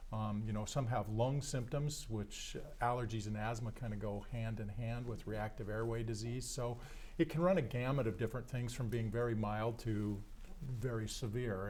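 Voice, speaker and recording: A man's voice: mid-range voice, a little mellow. A man, a doctor explaining things plainly as a guest on a radio show in the studio. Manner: easygoing, plain-spoken, explains with everyday examples. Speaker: ear, nose and throat doctor.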